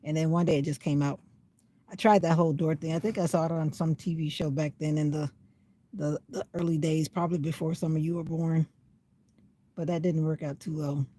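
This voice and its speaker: An older woman with a slightly raspy voice rambles casually on a livestream, sitting in her parked car while it rains. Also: low voice, steady tone, brisk, dark tone. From USA. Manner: rambling, chatty, talking to herself. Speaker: vlogger. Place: inside a parked car in the rain.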